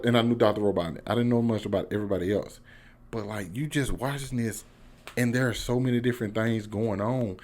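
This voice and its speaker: A man with an American accent giving a casual, slangy, emphatic one-take review as a YouTuber. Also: mid-range voice. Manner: slangy, informal, excitable, emphatic.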